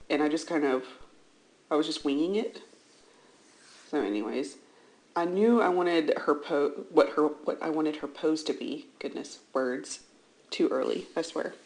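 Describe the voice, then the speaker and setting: Female art vlogger, lowish slightly scratchy voice, casual rambling voice-over, self-deprecating. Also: darkish tone. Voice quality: slightly scratchy early-morning voice. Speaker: art channel host. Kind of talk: casual chatty voice-over commentary on a drawing. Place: indoors, seated at a computer.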